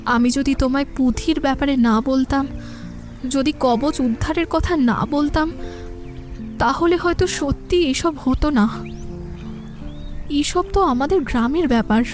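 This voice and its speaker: Young village girl, high voice, earnest and concerned, bit of music behind. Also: measured pace, long pauses, a little mellow. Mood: tender, apologetic. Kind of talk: Bengali audio drama acting.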